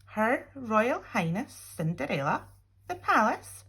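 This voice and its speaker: A woman reads a picture story aloud for young children. Her voice sits fairly high and moves widely up and down, with a husky edge. She leaves dramatic pauses and drops in small asides to her listeners. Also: very animated, fairly bright tone. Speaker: teacher reading a picture book aloud.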